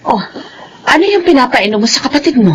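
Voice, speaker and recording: A woman playing a gentle, self-sacrificing widowed mother and nanny in a radio drama, earnest and soft-hearted. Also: high-pitched, expressive, fast talker, a little hiss or hum, rough recording. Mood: caring.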